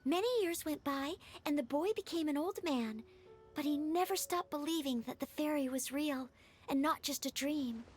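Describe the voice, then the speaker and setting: High-pitched, earnest young storyteller played by a woman. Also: normal speed, natural voice. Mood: wistful. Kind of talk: video game voice acting. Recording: music behind the voice.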